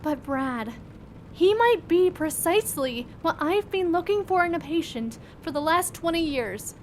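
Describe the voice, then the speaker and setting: A woman's voice: animated, unhurried. An actress playing a hesitant, hopeful woman doctor in an audio drama, with a pleading manner. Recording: faint low rumble behind.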